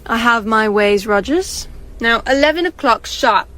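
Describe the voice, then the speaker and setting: Poised British female agent, played by an actress, slightly high voice, coy and playful, audio drama. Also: animated, a little slow, pushed and bright.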